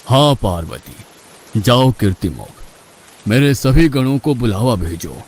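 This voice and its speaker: A man playing an authoritative god who commands attendant spirits in an animated mythological story. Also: mid-range voice, a little slow, low-quality recording. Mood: commanding.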